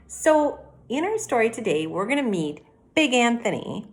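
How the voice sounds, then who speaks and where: A middle-aged woman, a teacher giving a read-aloud mini lesson, explaining things directly to children in an animated way. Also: a little high, normal speed. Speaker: elementary teacher. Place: indoor room at home by a window.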